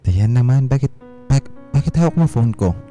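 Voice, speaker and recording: A man's voice: mid-range voice, expressive, moderate pace, warm tone, natural voice. An actor playing an evasive, short-tempered pilot husband in his thirties in a radio drama. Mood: defensive, irritated. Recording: music bed behind the voice.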